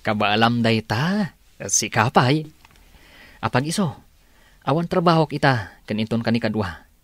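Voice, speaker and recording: An actor playing a supportive, cheerful young husband in a Filipino radio drama, speaking warmly and encouragingly. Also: male voice, mid-range voice, lively intonation, unhurried, no room sound. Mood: reassuring. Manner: warm, reassuring, encouraging. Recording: dry studio, music underneath.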